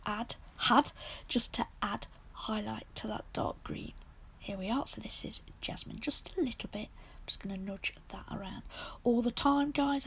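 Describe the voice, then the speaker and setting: Host of a nail art channel: woman, croaky and husky from a sore throat, fairly high voice, half-whispered step-by-step tutorial delivery. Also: a bit bright. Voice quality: husky, gravelly voice.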